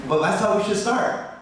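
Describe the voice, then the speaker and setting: American male biology professor, passionate lecture at a conference, fairly high voice, heard from afar in a reverberant hall, faint background hiss. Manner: passionate, rising in pitch when animated. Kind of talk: conference talk. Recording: echoey hall from far off.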